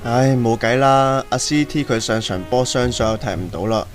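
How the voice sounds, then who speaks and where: A man's voice: medium pitch, moderate pace, faint music behind, natural voice. A man playing a chatty, persuasive teenage teammate with a Hong Kong Cantonese accent in an amateur radio drama. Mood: coaxing. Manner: slangy, coaxing.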